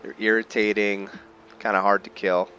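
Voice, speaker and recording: A man's voice: on the low side, level tone, normal speed, dull audio, music in the background. A man giving casual, laid-back video game commentary, musing aloud to himself as he plays. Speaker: gamer narrating own video game playthrough. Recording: faint background noise.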